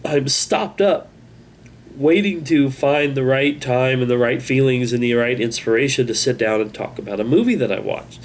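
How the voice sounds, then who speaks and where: A man hosting his own channel, talking in a halting, rambling, self-critical way, with a congested voice. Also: medium pitch, a little hiss or hum. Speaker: channel host. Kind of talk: casual vlog monologue.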